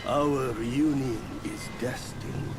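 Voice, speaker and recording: A man playing a wry, boastful mystical mentor in a fantasy video game, with a measured, grandly self-assured delivery. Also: medium pitch, natural voice. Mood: wry advice. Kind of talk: theatrical fantasy game acting. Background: loud game music.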